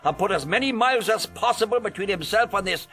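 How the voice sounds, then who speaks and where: An actor playing a gruff, blustering chief inspector in a period detective radio drama. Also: male voice, high-pitched, lively intonation, quick pace, a bit bright, faint music behind, full character voice. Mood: jovial. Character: blustering, hard-boiled male police chief inspector.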